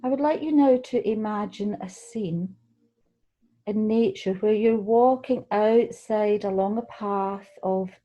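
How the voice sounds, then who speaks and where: A woman leading a guided relaxation meditation, speaking gently and soothingly, unhurried, with pauses between phrases. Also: mid-range voice, muffled recording. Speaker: guided meditation leader, tai chi qigong teacher.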